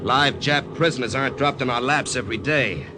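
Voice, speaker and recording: Actor as deadpan American pilot over engine noise. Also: male voice, medium pitch, brisk, close to an everyday voice. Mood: businesslike, frustrated. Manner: deadpan, sardonic. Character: dry, weary American military pilot, straight man. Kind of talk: 1950s radio drama acting. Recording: old radio recording, engine drone behind.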